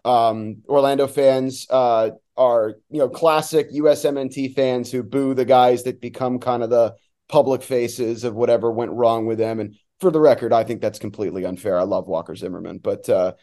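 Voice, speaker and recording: A man who works as a sports announcer, giving casual, somewhat halting analysis as a guest on a talk show. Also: medium pitch, fairly even intonation, warm tone.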